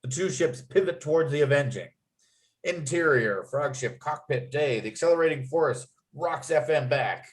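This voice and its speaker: A man's voice: a little high, lively intonation, moderate pace. A man reading out screenplay scene headings and action as the narrator of a script table read. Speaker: narrator and writer.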